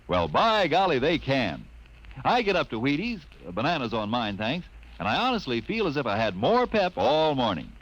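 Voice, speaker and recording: A man's voice: wildly swooping pitch, moderate pace, a bit bright. A man reading a folksy, chummy 1950s radio commercial as the sponsor's announcer. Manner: folksy, chummy sales pitch. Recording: dull lo-fi old radio recording.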